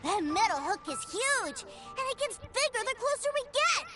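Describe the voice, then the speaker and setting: A woman's voice: very high-pitched, very animated, normal speed. A woman voicing a restless, naive little boy in a video game, talking in an eager childlike way, with game music behind. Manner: eager, wide-eyed, childlike.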